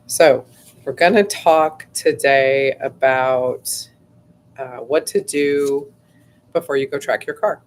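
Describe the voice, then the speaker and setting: A woman hosting a casual livestream, her voice going hoarse, rambling chattily with lots of fillers and frequent throat clearing. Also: rumbling low, slow pace, a little hiss or hum. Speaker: host.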